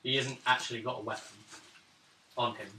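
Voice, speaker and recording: A man's voice: mid-range voice, level tone, a little fast, background noise. A man running a tabletop game as game master, narrating and explaining the rules. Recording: roomy room, mic far off.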